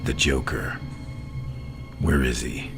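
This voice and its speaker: A man playing a grim, commanding masked vigilante in an ASMR roleplay, stern and terse, with a hushed, gravelly growl. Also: very deep voice, slow, over loud music. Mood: stern, urgent.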